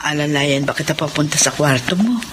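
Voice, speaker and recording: A man's voice: fairly high pitch, lively intonation, fairly quick, heavy background noise, natural voice. A man playing a kindly, solicitous older motherly woman in a radio melodrama. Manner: homely, solicitous. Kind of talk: Filipino radio melodrama acting.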